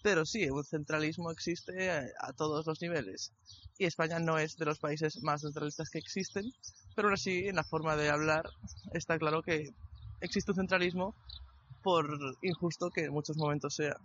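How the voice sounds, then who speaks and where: A man explaining his view in measured conversational talk. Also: fairly high pitch, fairly even intonation, a bit bright. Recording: dull lo-fi with faint background noise.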